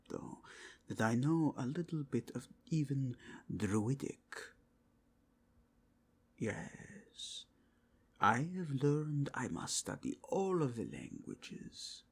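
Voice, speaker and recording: Werewolf inquisitor male performer: slow, gravelly half-whisper, mixed Spanish-tinged foreign accent, formal, zealous, intense ASMR roleplay delivery. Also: mid-range voice, heavily acted. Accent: mixed foreign with Spanish touches. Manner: formal, courteous, deliberate, intense. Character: zealous, courtly werewolf inquisitor who sniffs out evil.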